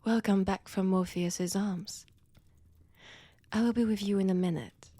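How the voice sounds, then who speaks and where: A seductive female vampire with a French accent, acted by a woman, purring threats to her prey in an intimate audio drama. The voice is breathy and often sinks toward a whisper. Delivery is very unhurried, with teasing, menacing pauses, and the sound is close and dry. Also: medium pitch, fairly even intonation, warm tone, dry recording, close to an everyday voice. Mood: mock-polite, teasing. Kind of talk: intimate audio roleplay, addressed to the listener.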